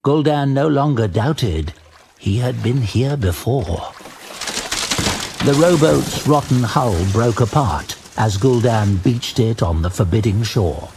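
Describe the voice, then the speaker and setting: A man giving dramatic scripted narration of a fantasy tale in a measured, grave storytelling manner. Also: mid-range voice, lively intonation, vocal fry, a little mellow, a little hiss or hum. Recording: music behind the voice.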